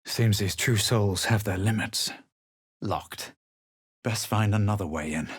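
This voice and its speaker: A composed, haughty male adventurer from a fantasy game. His voice sits low and is recorded very dry and close. He speaks in measured phrases with a faint air of superiority. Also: husky, dry recording. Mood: cool, measured. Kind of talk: video game character lines, scripted.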